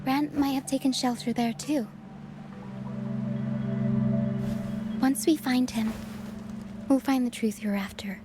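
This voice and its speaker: A woman's voice: sits high, moderate pace, very noisy, natural voice. A woman playing a protective, composed young woman guiding others in a video game cutscene. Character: protective young woman guide through dangerous mist. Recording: music behind the voice.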